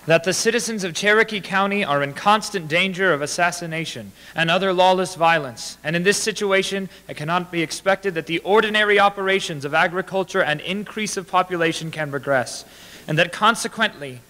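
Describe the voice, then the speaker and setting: A man in his twenties delivers old letters as a formal public reading. The pitch is somewhat raised for a male voice. A podium microphone catches it with the ringing reverb of a large hall. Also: a little fast, sharp tone. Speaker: dramatic reader. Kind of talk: formal read-aloud of historical letters. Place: large indoor hall with brick walls.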